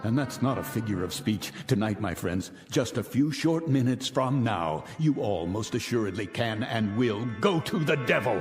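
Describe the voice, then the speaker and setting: An actor playing an arrogant, vengeful millionaire in a radio drama, speaking in a theatrical, pompous, taunting and articulate way. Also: male voice, fairly low pitch, lively intonation, normal speed, few pauses, close to an everyday voice. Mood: bitter, menacing. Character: vengeful, arrogant millionaire man holding old enemies captive. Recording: reverberant radio drama with music underneath.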